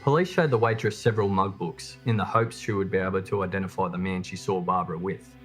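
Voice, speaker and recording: A man's voice: low voice, steady tone, fast, soft background music. A man reading a scripted true crime documentary narration as its host.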